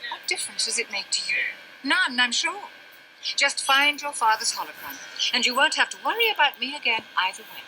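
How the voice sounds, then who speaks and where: A woman playing a sharp-tongued, weary, dying older mother in a video game, with haughty, theatrical, genteel phrasing. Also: on the high side, sing-song, a little fast, a little hiss or hum, heavily acted, poor audio. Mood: haughty, curt. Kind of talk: dramatic scripted game dialogue.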